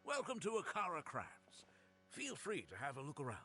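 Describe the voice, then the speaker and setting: A man's voice: fairly high pitch, wildly swooping pitch, moderate pace, pushed and bright, faint music behind, heavily acted. A man playing a friendly, courteous market shopkeeper with eager sales patter in a video game. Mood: eager, welcoming.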